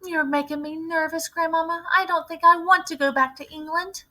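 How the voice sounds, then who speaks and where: Woman putting on a high, bright, anxious little boy. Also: fairly even intonation, normal speed. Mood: alarmed. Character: young boy, anxious, curious, questioning his grandmother. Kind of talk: storybook read-aloud character voice.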